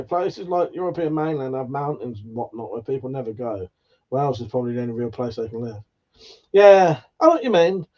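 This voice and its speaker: A man's voice: on the high side, darkish tone, muffled recording. A man from England, hosting a casual livestream, reading out chat comments in a sweary, jokey way with frequent laughs. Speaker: livestream host.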